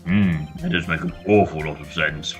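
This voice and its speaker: A man voicing an old male courtroom judge who speaks slowly and solemnly over faint game music. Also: a bass voice, steady tone, voice pushed down. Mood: ponderous.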